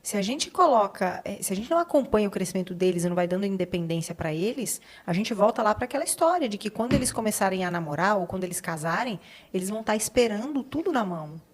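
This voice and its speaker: A woman's voice: medium pitch, animated, fast, few pauses. A middle-aged Brazilian woman hosting a podcast in a casual, conversational way. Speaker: podcast host.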